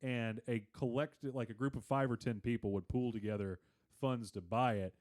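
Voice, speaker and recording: Male podcast co-host, mid-pitched. Explains at length in loose, joking chat, with casual swearing. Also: steady tone, moderate pace, a little mellow. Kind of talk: casual chat, comedic banter.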